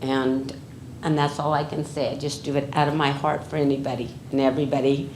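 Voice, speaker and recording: A low-voiced woman accepting an award with a short, heartfelt thank-you, her voice loud and close to tears. She speaks into a microphone in an echoey meeting chamber, with faint noise behind her. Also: flat delivery, brisk. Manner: emotional, tearful, loud-voiced. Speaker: award honoree. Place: county board meeting room.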